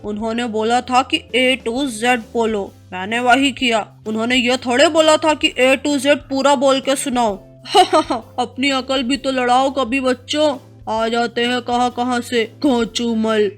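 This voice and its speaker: A woman voicing a cheeky, teasing jungle animal in an animated fable. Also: sits high, unhurried, hardly any pauses, soft background music. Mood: mocking. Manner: brash, teasing.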